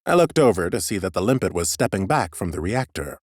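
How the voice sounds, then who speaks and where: A man reading expressive first-person scripted narration as an audiobook narrator. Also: deep voice, fairly quick, slightly rough, no echo, studio quality.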